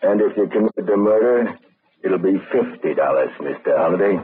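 An American actor playing a sly, mocking clerk at a seedy hotel in a 1940s-style radio drama, mock-courteous and husky. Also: male voice, sits low, animated, moderate pace, exaggerated voice. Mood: mocking, oily. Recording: thin, phone-like old radio recording.

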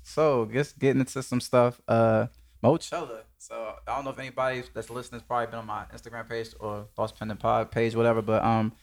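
A man's voice: medium pitch, flat delivery, brisk. A man hosting a podcast in casual, slangy, informal conversation. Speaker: podcast host.

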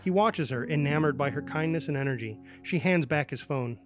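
A man's voice: a little high, brisk, music in the background. A man narrating a screenplay's stage directions in a dramatic reading.

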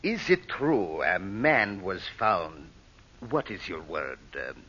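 An actor playing a shrewd, suspicious strongman in an old radio drama, formal and deliberate. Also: sits low, sing-song, a bit bright, husky, heavily acted. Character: powerful, suspicious foreign dictator, shrewd official. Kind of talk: 1930s-40s radio drama acting. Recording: dull old radio recording.

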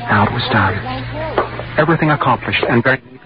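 A male actor plays a brooding, guilty-minded husband in a 1940s radio thriller. He confides his murder plan with nervous tension, halting at times. The vintage recording is dull, with loud dramatic music behind it. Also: mid-range voice, natural voice. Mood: cold, methodical. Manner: tense, brooding, confiding, halting when questioned. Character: nervous, secretive husband calmly plotting to poison his wife. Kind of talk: 1940s radio drama acting, first-person narration.

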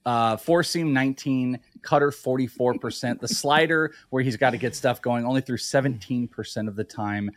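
A man's voice: mid-range voice, no echo. A man co-hosting a sports podcast, chatting casually, teasing his partner and reeling off stats. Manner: teasing, quick, stat-heavy analysis. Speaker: podcast co-host.

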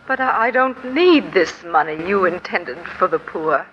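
A woman's voice: lowish voice, expressive, a little slow. A woman playing a proud, down-on-her-luck former performer, earnest and theatrical, in 1940s radio drama. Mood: moved, earnest. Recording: dull, noisy 1940s radio recording.

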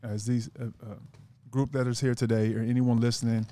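A man's voice: mid-range voice, little pitch movement, a little fast, mellow. A man guest on a casual podcast, talking earnestly in long rambling sentences with many restarts.

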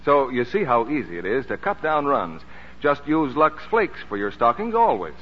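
Reverberant, muffled old radio sound carries a male announcer. His pitch swings in a lively way as he gives a scripted, emphatic 1940s sales pitch. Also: normal speed, husky. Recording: dull, reverberant, faintly noisy old radio recording.

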